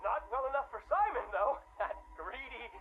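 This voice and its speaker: A man's voice: very high voice, lively intonation, moderate pace. A guilt-ridden middle-aged scientist rambles through a confessional game log. He is jittery, tipsy and apologetic, tripping over words on a tinny recording with faint hiss. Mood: tipsy, bitter. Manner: nervous, stammering, apologetic, rambling.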